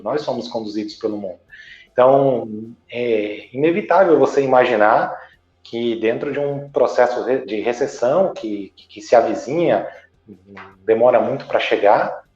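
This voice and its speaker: A man from Santa Catarina, Brazil, answers as an interview guest, explaining at a measured pace and often stopping to search for the right word. His voice is soft and warm. The room is roomy and echoing, and the sound is dull and lo-fi with faint music underneath. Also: medium pitch. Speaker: guest, businessman and journalist.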